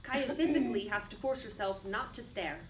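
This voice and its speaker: A woman's voice: medium pitch, fairly quick. A woman reading stage directions as scripted narration for a play. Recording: distant mic, clearly noisy room.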